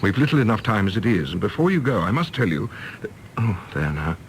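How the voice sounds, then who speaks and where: Earnest, animated middle-aged gentleman. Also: lowish voice, brisk, slight background noise. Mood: earnest, urgent. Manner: formal, pressing, wordy. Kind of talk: radio play acting.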